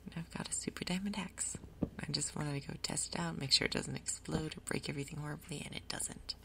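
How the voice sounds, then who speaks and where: Female ASMR gaming host: hushed half-whisper, heavy vocal fry, breathy, relaxed pacing of murmured asides. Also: sits low, fairly quick. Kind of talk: soft-spoken ASMR game commentary.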